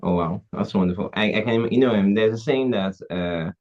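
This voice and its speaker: A man's voice: lowish voice, fairly quick, a little mellow. A man hosting a podcast interview, hesitant and often restarting his questions. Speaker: podcast host. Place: Africa. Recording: dull lo-fi sound.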